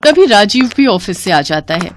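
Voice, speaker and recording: A woman's voice: mid-range voice, very animated, moderate pace, no room sound. A woman narrator reading a scripted moral story.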